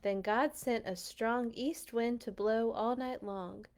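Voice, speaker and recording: A woman reading stories aloud to children in an expressive, playful way, with casual asides. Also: on the high side, takes it slowly. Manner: playful, animated in telling. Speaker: children's storyteller at a church read-along.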